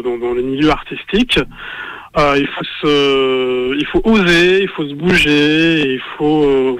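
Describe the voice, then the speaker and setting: A man answering questions in a radio interview, halting and full of fillers and restarts. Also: a little high, fairly bright tone, no room sound, poor audio. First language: French. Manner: hesitant, many fillers and restarts. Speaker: guest artist, singer and actor.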